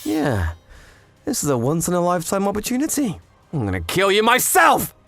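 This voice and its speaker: Taunting, bloodthirsty assassin, wildly animated. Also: male voice, high voice, normal speed, vocal fry, no room sound, faint music behind, heavily acted. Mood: bloodthirsty, excited. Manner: taunting, manic. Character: cocky, bloodthirsty knife-wielding assassin, taunting and gleeful.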